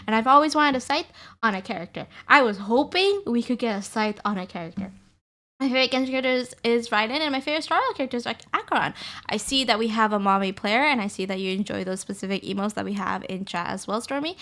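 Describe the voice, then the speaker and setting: A woman's voice: fairly high pitch, sharp tone. A woman streaming live, chatting casually and upbeat with her viewers, greeting them and laughing often.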